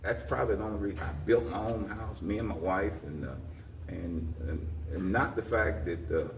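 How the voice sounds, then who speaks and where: A man's voice: fairly low pitch, gravelly. Older man, echoey distant recording. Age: 60s or older. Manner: halting, repeats and restarts phrases, folksy. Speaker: interview guest, community leader. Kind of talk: informal talk-show interview. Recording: roomy echo, distant mic, faint noise.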